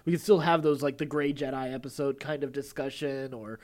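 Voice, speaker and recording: A man's voice: medium pitch, fairly even intonation, brisk, darkish tone. A man co-hosting a podcast in casual conversation, talking in a wordy, rambling way as he thinks aloud. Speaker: podcast co-host.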